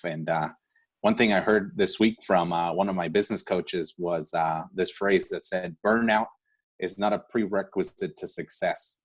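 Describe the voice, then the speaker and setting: A man's voice: low voice, husky. A man hosting an online awards event, speaking informally and from the heart in an earnest, encouraging way. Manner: earnest, encouraging, often seeking agreement with "right?". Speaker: event host for a scholarship foundation.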